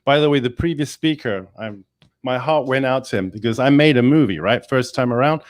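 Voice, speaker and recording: A male engineering professor speaking in a webinar discussion, energetic and emphatic, with many restarts. English is not his first language. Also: medium pitch, a little fast, no room sound, studio quality.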